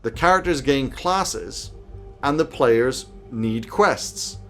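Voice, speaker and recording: A man's voice: mid-range voice, expressive, takes it slowly, sharp tone, faint music behind. A man in his thirties presenting scripted game master advice to camera from a small home office. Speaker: YouTube host giving game master tips.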